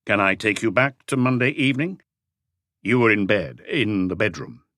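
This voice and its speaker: A man voicing a calm police chief inspector, asking probing questions in a measured, unhurried way in an audiobook. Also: on the low side, no echo, studio quality.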